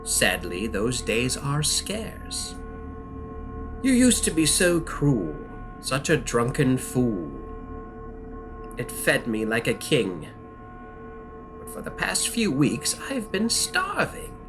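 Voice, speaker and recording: Evil knight from a nightmare, audio drama, slow n theatrical, mock-friendly taunting, music under it. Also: male voice, medium pitch, huge pitch swings. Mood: wistful menace. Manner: theatrical, drawn-out, mockingly friendly. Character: malevolent male dream knight, calm, taunting, hungry for vice. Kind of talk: audio drama villain monologue.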